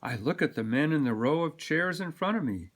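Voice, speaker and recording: A man reads a picture book aloud to children. His voice sits a little high and swings widely in pitch, with dramatic emphasis on key words. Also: a little fast. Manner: animated, dramatic delivery with sound-word emphasis. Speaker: storybook reader.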